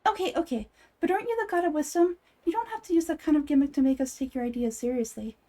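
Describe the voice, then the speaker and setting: A woman voicing a small, chirpy, childish girl companion in an anime game fan dub, blunt, pushy and excitable. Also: high voice, fast talker, natural voice. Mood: playful impatience. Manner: speaks of self in third person, pushy, excitable.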